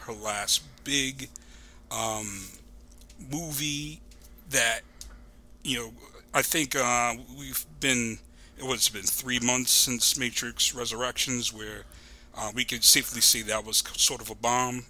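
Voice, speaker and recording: A man with a very bright, forceful voice at a medium pitch. He talks like a podcast guest in casual conversation, wandering through a story with digressions. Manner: rambling, digressive.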